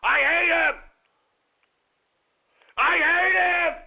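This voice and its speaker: A man hosting a call-in radio show, ranting, shouting and swearing at callers while losing his voice. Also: extremely high pitch, steady tone, very slow, gravelly. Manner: shouting, profane, abrasive. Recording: phone-like narrow sound.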